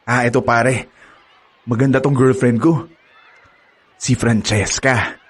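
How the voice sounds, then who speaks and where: A man's voice: medium pitch, steady tone, slow pace, slightly rough. An actor playing a cocky, boastful young male college student who uses women, laughing smugly as he brags. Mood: cocky boasting. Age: young adult. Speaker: actor in a radio drama. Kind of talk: radio drama acting.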